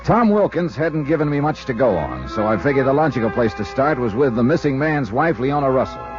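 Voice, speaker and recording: An actor playing a suave, wry American insurance investigator in 1950s radio drama style, clipped and hardboiled. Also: male voice, mid-range voice, sing-song, fairly quick, natural voice. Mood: brisk narration. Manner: clipped hardboiled first-person narration and questioning. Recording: dull vintage radio recording with music.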